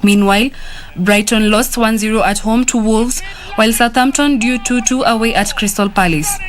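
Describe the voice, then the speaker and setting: A woman reading football results in an even, methodical way. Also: a little high, normal speed. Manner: even, methodical listing of scores. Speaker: sports news reader.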